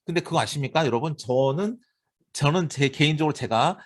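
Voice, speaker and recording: Brief: Korean male professor in his forties, Seoul accent, fast and animated, slightly high, quizzes the class as he goes. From Seoul, South Korea. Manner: lively, talkative, addresses students directly with rhetorical questions. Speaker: university lecturer. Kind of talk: classroom lecture.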